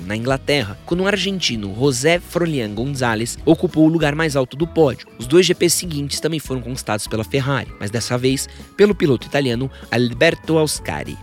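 A man hosting a scripted biographical podcast, with informal joking asides. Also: a little high. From São Paulo, Brazil. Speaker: podcast host. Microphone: studio podcast microphone.

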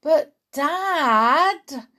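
A woman's voice: high-pitched, animated, very slow, pushed and bright, voice pushed up, heavily acted. A woman voicing a sensible, hesitant little boy in a children's story, questioning and pleading to be heard. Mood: worried, pleading.

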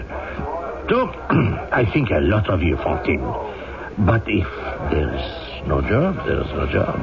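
Creepy oily boss, real low voice that slides around, hesitant and chuckly, muffled old radio drama w music. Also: male voice, gravelly, full character voice. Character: lecherous oily factory boss preying on female worker. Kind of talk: old-time radio acting.

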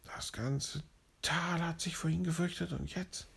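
A man's voice: a little high, fairly even intonation, normal speed, gravelly, breathy edge, voice pushed up, heavily acted. Man doing Roberti, a bossy parrot cop. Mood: scolding. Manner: officious, scolding, blustering. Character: bossy male parrot police officer.

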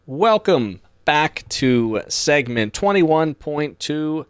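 A man's voice: a little high, animated, extremely slow, clear, resonant tone, no echo, clean studio sound. A man hosting a podcast, presenting announcements in an upbeat, chatty, informal way with playful asides. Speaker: podcast host.

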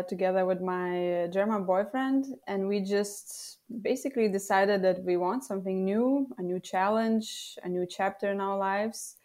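A woman's voice: mid-range voice, darkish tone. A young woman whose first language is Lithuanian, talking as a guest over a video call, chatty, with frequent laughs and fillers. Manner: laughs often, many ums and uhs. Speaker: guest copywriter. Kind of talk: casual remote interview.

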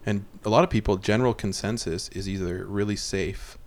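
A man's voice: lowish voice, fairly even intonation, a little fast. A man co-hosting a casual podcast from a basement apartment, musing and rambling with many restarts. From Canada. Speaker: podcast co-host. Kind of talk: casual chat.

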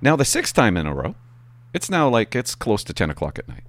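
A middle-aged man, a former police officer, telling work anecdotes casually in a halting, animated way and acting out the dialogue. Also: medium pitch, no room sound. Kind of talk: casual anecdotal storytelling to viewers.

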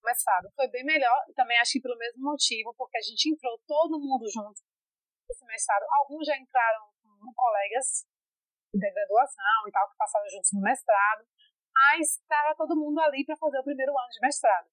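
A chatty woman, telling her story in a relaxed interview. Her voice sits fairly high, and she keeps it lively with fillers and restarts. The recording is dry, with almost no room sound. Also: fairly quick, no room sound, rough recording. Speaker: interviewee, foreign master's student in France. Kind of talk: casual conversational interview.

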